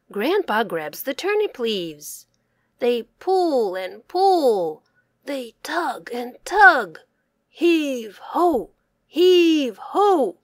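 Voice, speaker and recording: A woman reads a children's story aloud slowly, her high voice rising and falling with each line. The sound is close and dry, and the delivery is sing-song. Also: dry recording. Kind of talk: reading a picture book aloud to children.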